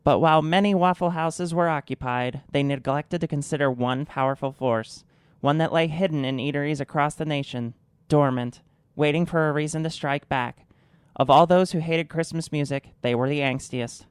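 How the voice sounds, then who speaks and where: Man as smooth, grave documentary narrator. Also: fairly high pitch, normal speed, no room sound, studio quality, close to an everyday voice. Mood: portentous. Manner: portentous, dramatic. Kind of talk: scripted narration.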